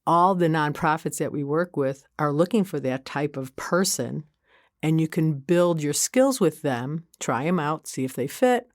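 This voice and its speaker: A woman with a Chicago accent, a college community engagement coordinator chatting as a guest in a casual interview, folksy and quick to laugh. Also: sits low, expressive, darkish tone, no room sound, studio quality.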